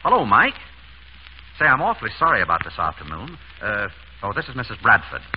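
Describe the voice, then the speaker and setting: A man's voice: fairly low pitch, fairly quick. An actor in a 1930s radio comedy-mystery playing an urbane, dryly witty doctor exasperated by his ex-wife. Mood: concerned. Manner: urbane, wry, deadpan. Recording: dull, noisy 1930s radio recording.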